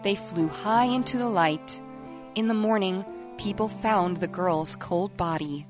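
A woman's voice: medium pitch, a little slow. Woman reading a story over music. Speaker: story reader. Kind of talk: scripted story narration.

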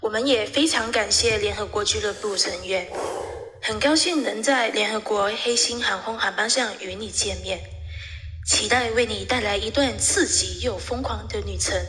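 A woman's voice: mid-range voice, moderate pace, a bit bright, natural voice. A woman playing a flight attendant who gives a polite, formal welcome announcement in an audio drama. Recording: reverberant hall sound.

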